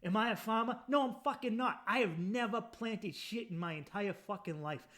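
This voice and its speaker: A man's voice: high-pitched, normal speed, fairly bright tone. A man hosting a podcast, riffing through a profane, mock-outraged comedic rant. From United States. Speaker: podcast host.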